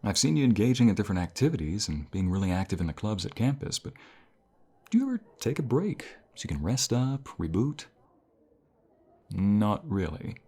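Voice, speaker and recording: Guy playing a kind college professor in an asmr roleplay, low breathy voice, gentle and reassuring, takes his time. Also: very animated, moderate pace, heavily acted. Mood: gently concerned. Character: caring, encouraging male college professor, gently self-deprecating. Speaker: voice actor in an audio role play. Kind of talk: intimate ASMR role play, one-sided conversation.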